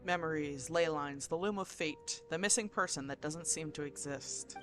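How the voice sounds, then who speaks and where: A woman's voice: low voice, normal speed, music in the background. A woman streaming a video game, chatting informally and musing aloud in casual commentary. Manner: informal, rambling, muses aloud.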